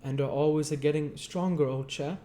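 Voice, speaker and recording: A man puts on a kindly, humble working-class older fellow from a storybook. The voice sits well up in pitch, with halting, deferential country phrasing. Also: steady tone, mellow, close to an everyday voice. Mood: tender. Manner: rustic dialect, deferential, halting.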